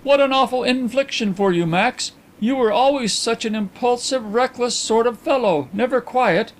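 A man voicing a proud, excitable gentleman in an audiobook, speaking in stiff, emphatic exclamations. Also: very high voice, normal speed, voice pushed up. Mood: sympathetic, amazed. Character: excitable, proud, touchy gentleman visitor, agitated and bitter. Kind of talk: audiobook character acting.

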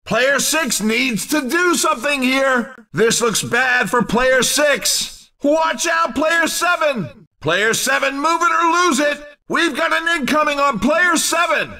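An actor voicing an excitable, showy racing game commentator with a shouted, punchy delivery. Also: male voice, very high voice, slow pace, recorded from a distance, full character voice. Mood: urgent, alarmed. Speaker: race commentator.

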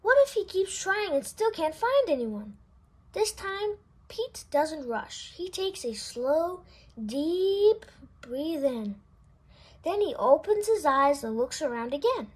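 A woman reading a children's picture book aloud in an expressive, sing-song way. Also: high-pitched, takes it slowly. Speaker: storybook reader. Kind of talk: children's read-aloud narration.

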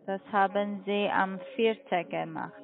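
A woman's voice: medium pitch, fairly even intonation, a little slow, creaky. A woman with a foreign accent, speaking non-native German as a direct, businesslike telemarketing caller. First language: not German. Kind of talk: sales phone call. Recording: tinny phone line.

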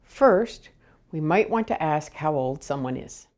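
A woman in her thirties leading a language lesson, speaking each phrase slowly and deliberately for learners to repeat. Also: low-pitched, lively intonation, darkish tone. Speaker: language teacher. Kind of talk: slow, clear language lesson with repetition drills. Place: indoors, wood-panelled room.

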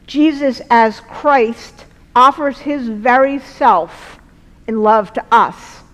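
A middle-aged woman delivers a sermon at a church lectern in slow, weighty phrases. Her voice sits fairly high, and a long church reverb trails behind every line. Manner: measured, earnest, slow deliberate phrasing. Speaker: preacher. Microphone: lectern mic, far camera.

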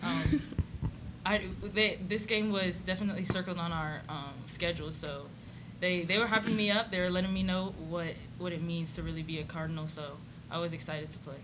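A young woman basketball player answering reporters in a relaxed, friendly way with occasional fillers, speaking into a desk microphone. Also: on the low side, brisk, noisy background. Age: young adult. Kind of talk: postgame press conference answers. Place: press conference room, indoors. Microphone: desk microphone on stand.

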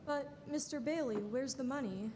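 A woman's voice: normal speed, lightly acted. A woman playing a polite, patient bank teller in a live radio play. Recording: echoey hall, faint rumbling noise.

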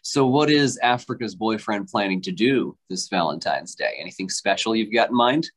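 A man's voice: lowish voice, animated, moderate pace. A young man, a radio host, asking upbeat, well-prepared questions in presenter style over a video call. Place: indoor room with a station backdrop.

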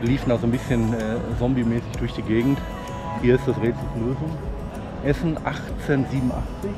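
Brief: man in his thirties, frank and chatty vlogger narrating a walk outdoors, with loud music and traffic behind him. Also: mid-range voice, fairly even intonation, fast. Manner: chatty, frank, with fillers and regional slang. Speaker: vlogger, urban hiking guide. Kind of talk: casual walking vlog commentary. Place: outdoors on city streets and parks. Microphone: chest-mounted action camera.

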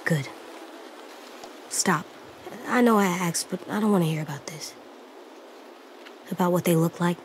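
Woman voicing a low-pitched, blunt little boy. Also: slight background noise, natural voice, rough recording. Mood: subdued, stern. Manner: terse, blunt, halting. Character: blunt, guarded little boy survivor in apocalypse. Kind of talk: naturalistic video game dialogue acting. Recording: faint outdoor stream ambience.

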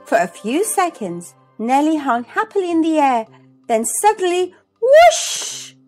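A woman hosting a children's read-aloud, narrating a storybook expressively and deliberately. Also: high voice, very animated, darkish tone. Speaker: host and storybook reader. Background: music.

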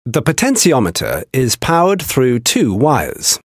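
A man giving scripted technical narration for a training video, with a measured, explanatory delivery. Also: medium pitch, wildly swooping pitch, husky, dry recording, clean studio sound.

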